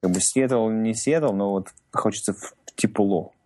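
Male co-host, low and mellow, loose rambling delivery. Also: measured pace, slight background noise. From Russian-speaking immigrant in North America. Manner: rambling, heavy filler words. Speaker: podcast co-host. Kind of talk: casual chat.

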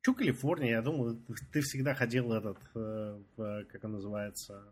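Male co-host, slightly husky, easygoing and halting. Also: on the low side, fairly even intonation, darkish tone. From Russian-speaking immigrant in America. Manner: laughs easily, halting restarts. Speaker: podcast co-host. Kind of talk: casual chat.

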